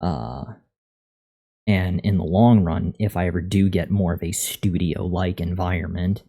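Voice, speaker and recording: Male live streamer, very low and relaxed, monotone, casual desk-mic chat while learning to code. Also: measured pace, gravelly, breathy edge. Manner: thinking aloud, reading out and answering chat. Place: untreated room at a computer. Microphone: USB condenser microphone at a desk.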